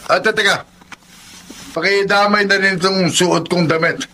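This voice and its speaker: An actor playing an abusive, hot-tempered Filipino husband in a radio drama, with a sneering, belligerent delivery. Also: male voice, sits high, steady tone, a little slow, pushed and bright, a little hiss or hum, exaggerated voice. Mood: dismissive, irritated.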